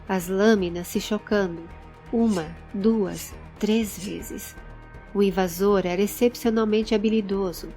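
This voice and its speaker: A woman with a Brazilian accent giving measured, dramatic storytelling narration for a scripted audio drama. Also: medium pitch, warm tone. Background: background music.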